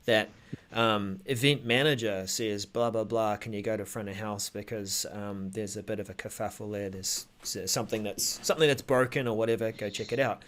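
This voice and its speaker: A man's voice: lowish voice, steady tone, normal speed, a bit bright. A man co-hosting a casual tech show, explaining things tentatively as he thinks aloud.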